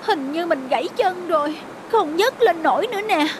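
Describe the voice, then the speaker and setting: A woman voicing a sharp-tongued, pert young female street vendor in a cartoon. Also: very high voice, expressive, background noise. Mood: pained, distressed. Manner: pert, quick to argue. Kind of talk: cartoon dubbing. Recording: music behind the voice.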